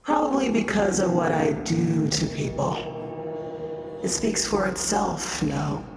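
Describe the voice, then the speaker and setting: A man playing a patronizing, quietly menacing smoke entity in an audio drama, speaking articulately and condescendingly. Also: on the high side, animated, slow, vocal fry, roomy sound, heavily acted. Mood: smug, teasing. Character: ominous, patronizing transcendent smoke entity, collector of souls. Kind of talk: scripted audio drama dialogue.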